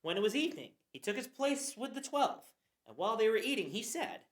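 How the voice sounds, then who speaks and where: A woman's voice: fairly high pitch, big pitch swings, brisk. A woman narrating scripture for a church passion play reading. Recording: roomy echo.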